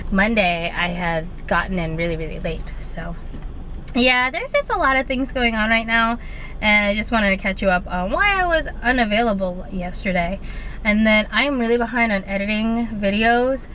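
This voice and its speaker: A woman in her twenties with a raspy voice from a fading cold, chatting casually to the camera from inside her car, rambling with lots of fillers. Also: medium pitch, a bit bright, rough recording. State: raspy voice while getting over an illness. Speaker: vlogger. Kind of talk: casual vlog chat. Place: inside a car at a drive-thru. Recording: car noise in the background.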